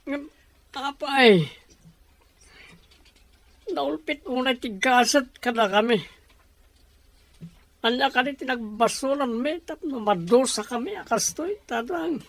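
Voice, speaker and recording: A woman's voice: high-pitched, takes it slowly, long pauses, no room sound, exaggerated voice. A woman playing a heartbroken young lover who laments in despair to a parent in an audio drama. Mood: bitter despair. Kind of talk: Ilocano audio drama acting.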